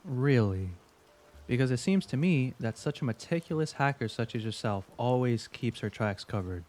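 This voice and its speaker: A man's voice: medium pitch, lively intonation, darkish tone, lightly acted. Man acting a smug, needling investigator. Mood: probing, accusing. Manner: wry, needling, deliberate. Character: smug, probing male veteran investigator interrogating suspects. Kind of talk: audio drama acting.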